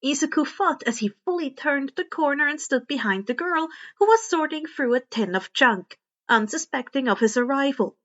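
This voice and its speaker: A woman reading a story aloud as an expressive narrator. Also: high voice, moderate pace, lo-fi sound. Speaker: podfic narrator reading fan fiction.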